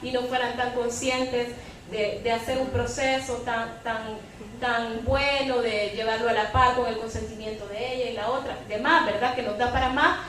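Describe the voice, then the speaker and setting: A high-voiced woman argues her view as a panelist at a public debate. She keeps tagging her points with a short question for agreement. The sound is very echoey and somewhat distant, with faint noise. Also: steady tone, normal speed, fairly bright tone. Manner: repeats a questioning tag to seek agreement. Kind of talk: opinionated public debate commentary.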